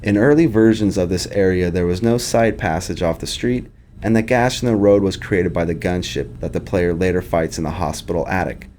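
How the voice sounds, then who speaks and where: A man's voice: sits low, moderate pace, darkish tone, slight background noise. A man giving scripted commentary as a game developer.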